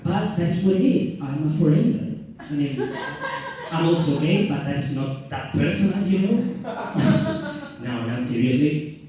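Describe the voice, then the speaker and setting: Spanish-accented comedian in an echoey club. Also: male voice, fairly high pitch, very animated, a little slow, dark tone. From Spain. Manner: self-mocking, playful, theatrical. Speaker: stand-up comedian. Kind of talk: stand-up routine. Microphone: handheld stage mic. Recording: very echoey room, distant mic, faint noise.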